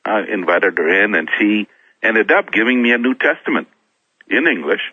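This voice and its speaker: Man from Kenya, middle-aged, very deep voice, tinny phone-like sound, dry, hesitant storyteller. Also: expressive, no room sound. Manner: halting, repeats and restarts words while telling a story. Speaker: guest. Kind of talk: personal testimony in a talk show interview.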